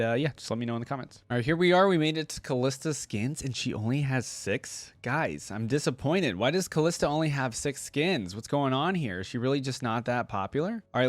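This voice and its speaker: A man's voice: mid-range voice, no room sound. A man giving casual reaction commentary as an online host, enthusiastic and informal in a conversational way.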